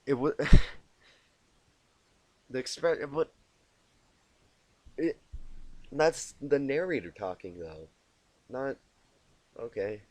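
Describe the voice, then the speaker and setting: A man narrating a storybook tale as an amateur reader, halting and often repeating words he stumbles on. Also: medium pitch, very animated, a little mellow, dry recording. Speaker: amateur fan fiction reader. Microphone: inexpensive home microphone.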